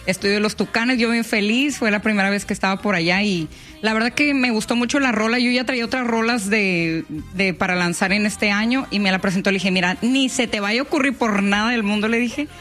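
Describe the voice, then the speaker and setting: A woman's voice: mid-range voice, a little fast, soft background music. Chatty woman singer. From China, Nuevo León, Mexico. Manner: lively, colloquial slang, laughs easily. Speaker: singer, radio guest. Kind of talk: casual chatty interview. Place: radio studio booth.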